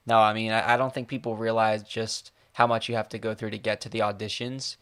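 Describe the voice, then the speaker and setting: A man hosting an interview over a call, chatty and supportive, thinking out loud as he asks questions. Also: lowish voice, level tone, brisk, smooth. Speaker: podcast host.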